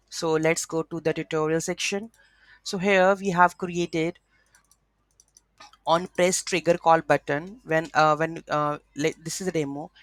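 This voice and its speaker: Guy doing a coding tutorial, kinda high bright voice, bit creaky, stops and starts a lot. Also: dry recording. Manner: halting, repeats words, checks with "right?". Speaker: tutorial presenter. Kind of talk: unscripted screen-share coding tutorial.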